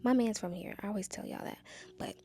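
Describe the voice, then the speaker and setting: A woman's voice: medium pitch, fast. A young woman from Nashville, chattily telling viewers a personal story, confiding and calling out to them often. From Nashville, Tennessee. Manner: chatty, confiding, keeps saying y'all. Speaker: vlogger.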